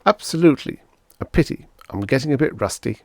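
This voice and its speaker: A man voicing an eager, blunt doctor in a story, with brisk, clipped exclamations. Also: mid-range voice, expressive, creaky, darkish tone, dry recording, voice pushed up. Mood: disappointed. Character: eager, blunt male doctor starved of patients.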